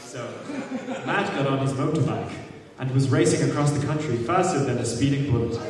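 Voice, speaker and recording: A man's voice: medium pitch, a little fast. A man hosting a live comic fan fiction reading, mock-dramatic and full of jokey interjections. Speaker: panel host reading fan fiction aloud. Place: large convention hall, audience camera. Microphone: far camera in the audience. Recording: echoey hall from far off, loud crowd.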